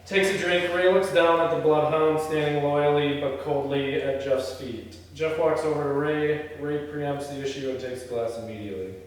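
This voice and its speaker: A man's voice: on the high side, steady tone, normal speed, few pauses. A man reading screenplay stage directions aloud at a table read, with informal asides. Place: indoor echoey room. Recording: very echoey room, distant mic, faint noise.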